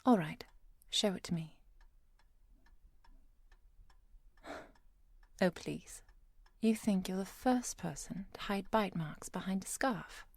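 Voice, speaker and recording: A woman playing a composed, caring professor and vampire hunter in an ASMR roleplay, speaking softly and precisely, often near a whisper. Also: mid-range voice, animated, husky, dry recording. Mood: polite, brisk, then calm, clinical. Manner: measured, precise, softly commanding.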